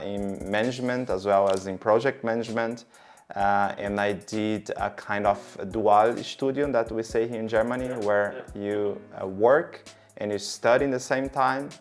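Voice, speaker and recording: A man in his thirties from Brazil, giving long, earnest interview answers with many fillers. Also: lowish voice. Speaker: guest.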